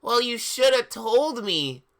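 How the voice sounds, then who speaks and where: A man voicing angry, indignant male wizard teachers who shout exasperated insults in a dramatic story reading. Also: very high voice, very animated, takes it slowly, pitched above the performer's own voice, exaggerated voice.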